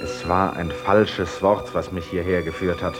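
An actor in a German radio drama playing a sober, determined asylum inmate who speaks and narrates in a measured, deliberate way. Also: male voice, low voice, little pitch movement, over loud music. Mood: insistent.

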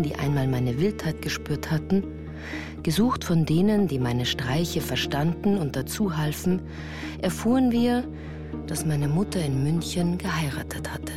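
A woman reading scripted literary narration as the narrator of a radio play. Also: low voice. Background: music.

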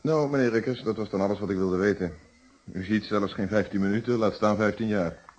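An actor playing a calm, probing, wry male police inspector in a radio detective play, speaking Netherlands Dutch with polite, measured phrasing. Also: on the low side, breathy edge. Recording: dull, muffled radio drama recording.

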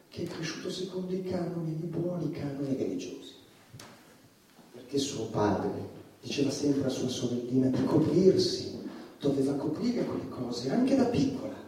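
An actor playing a provocative, agitated stand-up satirist on trial, delivering an emphatic theatrical monologue that swings between muttering and shouting. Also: male voice, a little high, slight background noise, natural voice. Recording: echoey, distant stage recording with music.

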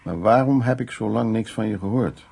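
An actor playing an older man, a brooding war survivor telling his memories in a radio drama with a gravelly, husky, halting delivery. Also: on the low side, darkish tone, slight background noise. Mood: gruff, wounded.

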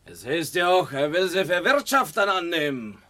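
A man acting a boisterous, mocking villager in a German radio drama, jeering and jovial in rustic speech, with a sharp, gravelly voice. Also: fairly high pitch, big pitch swings, normal speed, dry recording. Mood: mockingly jovial.

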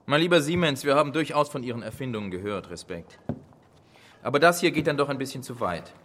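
Pompous old-Berlin bureaucrat male actor, formal and dismissive, mid-pitched, audio docudrama, noisy background. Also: lively intonation, quick pace, a bit bright, lightly acted. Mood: patronizing. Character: condescending, skeptical 19th-century Berlin city official.

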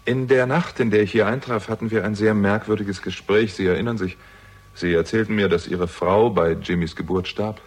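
Husky, low-voiced sardonic investigator. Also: male voice, fairly even intonation, normal speed. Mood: accusing, controlled. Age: young adult. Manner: dry, ironic, probing. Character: sardonic younger man, shrewd amateur investigator. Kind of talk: German radio crime drama acting.

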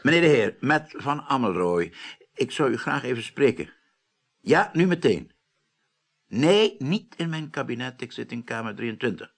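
Animated, creaky, patrician older man. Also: mid-range voice, moderate pace. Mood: stern, commanding. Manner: imperious, genteel, emphatic. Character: patrician, authoritative older male parliamentary chief. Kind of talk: Dutch radio drama acting.

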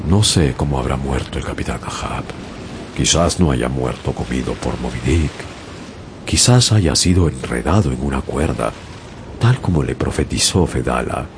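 An actor narrating as a young sailor who survived a shipwreck, in grave, measured storytelling. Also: male voice, rumbling low, lively intonation, noisy background. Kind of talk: dramatic audiobook narration. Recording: loud music bed under narration.

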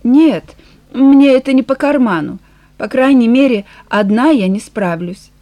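A woman's voice: a little high, animated, unhurried, warm tone, dry recording. A woman voicing a downcast, hesitant middle-aged shop woman in an audiobook, subdued in manner. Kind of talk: audiobook character dialogue.

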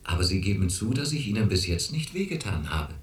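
Radio drama doctor: cool, probing, condescending, swinging intonation, recorded in a roomy echo. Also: male voice, low voice, sing-song, brisk. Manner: probing, patronizing questioning. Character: cool, condescending male clinic doctor questioning a patient.

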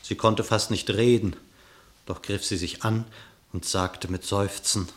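A man narrating an epic verse fable for a radio play in an expressive, literary storytelling manner. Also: fairly low pitch, measured pace.

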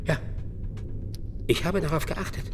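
A man's voice: on the high side, a little slow, in a large hall, noisy background, natural voice. An actor playing a defensive, bitter middle-aged bar owner in a German crime radio play, evasive and coarse as he justifies himself under questioning. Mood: agitated, self-justifying. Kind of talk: German radio drama acting.

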